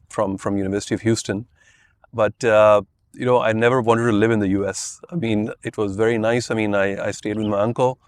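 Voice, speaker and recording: A man in his fifties, originally from Pakistan, telling his life story as a podcast guest and loosely filling his talk with "you know" and "I mean". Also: lowish voice. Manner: rambling, many "you know" and "I mean" fillers. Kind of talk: relaxed interview answers.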